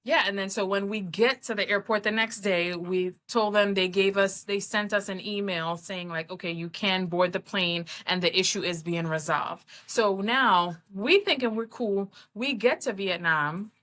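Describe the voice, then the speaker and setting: A woman's voice: medium pitch, normal speed, hardly any pauses. An American woman co-hosting a travel channel, chatting casually and acting out the people in her stories. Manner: animated storytelling, acts out what people said. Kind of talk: casual chat.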